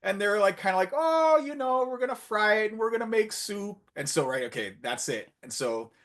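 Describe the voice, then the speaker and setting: A man giving a conference talk with slides, chatty and full of fillers and restarts, getting animated when he retells his anecdotes. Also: high voice, fairly quick. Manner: conversational, many fillers and restarts. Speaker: conference speaker. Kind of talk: presentation with slides, storytelling.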